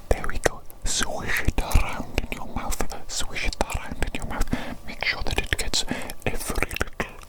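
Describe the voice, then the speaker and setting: A performer playing an eccentric evil doctor in a whispered ASMR medical roleplay, fussy and theatrical, repeating phrases in a breathy whisper. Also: normal speed, few pauses, close to an everyday voice. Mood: instructing. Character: eccentric evil doctor tending a big creature.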